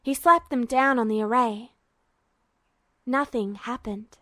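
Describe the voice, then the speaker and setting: A woman with a high voice narrates a fan fiction story. It is a scripted read, recorded very dry with hardly any room sound. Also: slow, pushed and bright, no echo.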